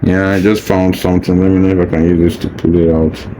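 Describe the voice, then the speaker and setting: A man's voice: sits low, flat delivery, fast, mellow. A man patiently walking through a hands-on unboxing tutorial, casual and reassuring, repeating his steps as he goes. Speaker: product demonstrator. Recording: faint background noise.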